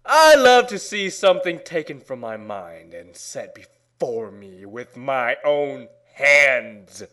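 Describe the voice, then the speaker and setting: A man giving a mock-dramatic reading, theatrical and overwrought, with long pauses and swings between hushed and loud delivery. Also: medium pitch, big pitch swings.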